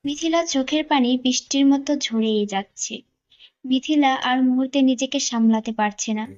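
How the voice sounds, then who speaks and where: A woman's voice: high voice, moderate pace, lo-fi sound. A woman narrator reading a scripted romantic story.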